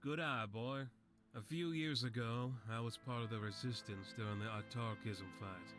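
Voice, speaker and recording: A man playing a frail, sickly old veteran in a video game, weary, resigned and gentle. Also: mid-range voice, fairly even intonation, moderate pace, natural voice. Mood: weary, matter-of-fact. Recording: game music behind the voice.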